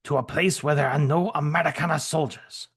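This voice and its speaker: A man's voice: fairly high pitch, steady tone, brisk, fairly bright tone, slightly rough, pitched above the performer's own voice. A man voicing a ruthless male German soldier with a mock German accent, barking threats. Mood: menacing.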